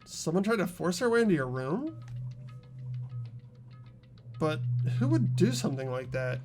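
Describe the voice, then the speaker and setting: An earnest teenage schoolboy from a visual novel game, voiced by a man, gentle and anxious. His voice sits high and moves around freely, with hesitant stammers, over background music. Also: very animated, a little slow. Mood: worried.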